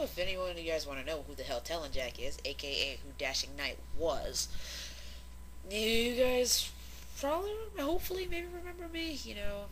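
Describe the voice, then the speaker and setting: Playful woman co-host with a bright voice. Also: fairly low pitch, slight background noise, rough recording. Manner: playful, teasing. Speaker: co-host and voice performer. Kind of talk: casual banter.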